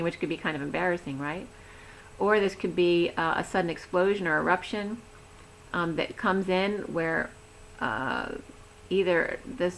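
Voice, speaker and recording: Middle-aged lady rambling thru a tarot reading, kinda low, voice going hoarse, faint hum behind. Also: fairly bright tone, low-quality recording. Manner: chatty, rambling, laughs easily. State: losing her voice. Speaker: tarot reader. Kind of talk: casual tarot reading to camera.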